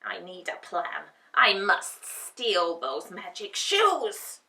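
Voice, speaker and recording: A woman's voice: mid-range voice, big pitch swings, slow pace, vocal fry, sharp tone, distant mic, full character voice. A woman voicing a gloating, bad-tempered wicked witch in a storybook, with a cackling, screaming delivery. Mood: angry scheming. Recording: roomy echo, away from the mic.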